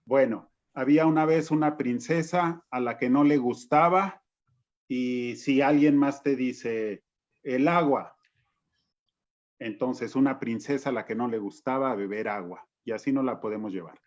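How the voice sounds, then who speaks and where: Mexican man, halting, in muffled audio. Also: mid-range voice, a little mellow. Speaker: panelist on children's reading. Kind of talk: informal panel talk. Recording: dull lo-fi video call audio.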